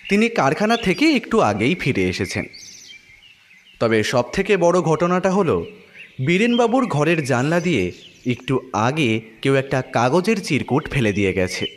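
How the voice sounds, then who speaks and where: Male first-person narrator for detective drama: highly expressive pitch movement, scripted delivery, light background noise with birds. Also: a little slow, big-room sound.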